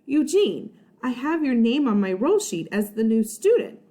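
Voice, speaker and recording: A woman voicing a composed, firm primary school teacher, measured and pointedly polite, in a children's storybook. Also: on the high side, big pitch swings, dark tone. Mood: firm, prompting.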